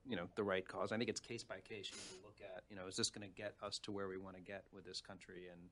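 A man with a lowish voice talks at a quick clip. He sounds like a panelist in an informal policy debate, making careful, qualified points. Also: level tone, sharp tone, slight background noise. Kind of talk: conversational policy discussion.

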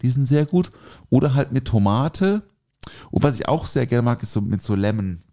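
A man's voice: medium pitch, lively intonation, normal speed, warm tone. A man from southern Germany, chatting informally and loosely as co-host of a food podcast. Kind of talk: casual podcast chat.

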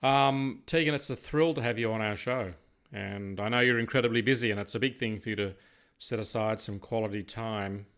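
An older Australian man co-hosting a casual podcast, talking in a rambling, deliberate way. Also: lowish voice, a bit bright. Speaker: podcast co-host.

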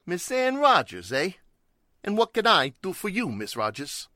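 A man's voice: high-pitched, wildly swooping pitch, normal speed, sharp tone, no echo, exaggerated voice. An actor playing a shady, tough, easily riled racketeer with slangy tough-guy talk in a 1940s radio crime drama. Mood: slick, sly.

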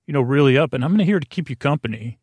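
A man hosting a bedtime podcast, rambling in a halting, soothing, reassuring way that meanders off into tangents. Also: mid-range voice, expressive, darkish tone, breathy edge, no echo. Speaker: podcast host. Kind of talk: rambling bedtime story monologue.

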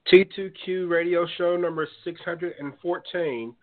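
A man's voice: high-pitched, steady tone. Stuffy-nosed man hosting over a phone line. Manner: measured, earnest, with fillers. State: slightly stuffed up from a cold. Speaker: radio show host. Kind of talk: call-in radio show monologue. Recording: tinny phone-line sound.

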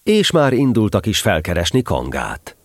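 A male narrator reading a children's story. His voice is fairly low with expressive, lively intonation, recorded dry without room sound. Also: dry recording.

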